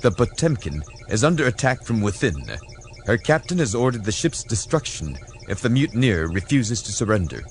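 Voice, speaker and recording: A man's voice: mid-range voice, very animated, moderate pace, a little hiss or hum, voice pushed up, close to an everyday voice. Man reading as an urgent, commanding starship captain. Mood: pleading, earnest. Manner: clipped orders and earnest appeals.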